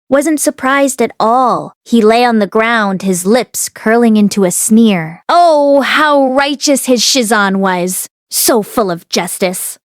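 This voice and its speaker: A woman narrating a novel aloud as an expressive read-along host. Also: fairly high pitch, dry recording, clean studio sound.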